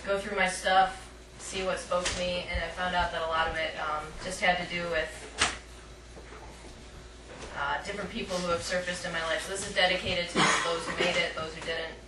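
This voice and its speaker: Low-voiced woman poet in an echoey, noisy room. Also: level tone, fairly quick. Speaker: poet, featured reader. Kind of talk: live poetry reading with casual asides. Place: live poetry night at a cafe. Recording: echoey room, distant mic, clear noise.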